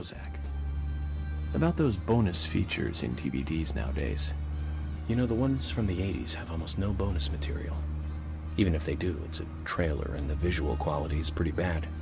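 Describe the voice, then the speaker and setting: An actor playing an eccentric, chatty FBI agent in a video game, musing in a measured, self-assured, theatrical way. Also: male voice, sits low. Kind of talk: scripted game dialogue, monologue to an unseen companion. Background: game music.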